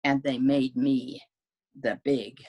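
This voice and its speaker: A woman's voice: a bass voice, steady tone, slow, a little mellow, slightly rough, full character voice. A woman reading as a wily male wolf who pleads his innocence in folksy, self-justifying storybook narration.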